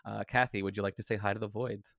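A man hosting a podcast, introducing his guest and asking questions in a relaxed, lightly joking way. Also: lowish voice, fast talker, creaky, dry recording, clean studio sound. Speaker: podcast host. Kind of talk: casual interview.

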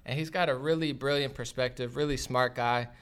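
A man's voice: mid-range voice, fairly even intonation, fairly quick, a bit bright, smooth. A man hosting a podcast, talking in a casual, conversational interview style. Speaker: podcast host.

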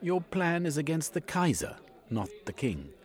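A man playing a frightened old gentleman. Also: on the high side, sing-song, a little slow, pitched above the performer's own voice. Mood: fearful. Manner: pleading and stammering. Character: frightened grey-haired old English gentleman.

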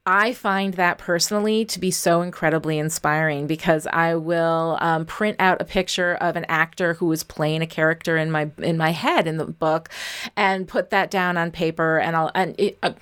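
A woman's voice: sits low, steady tone, normal speed, a bit bright, smooth. A woman giving casual, instructional advice as a writing coach, speaking in a candid, emphatic, conversational way. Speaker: writing coach and novelist, interview guest.

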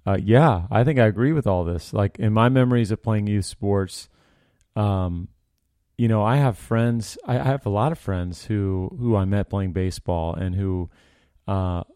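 Mellow, lowish-voiced American man chatting. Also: measured pace, no echo, clean studio sound. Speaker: podcast co-host. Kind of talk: casual conversational podcast discussion.

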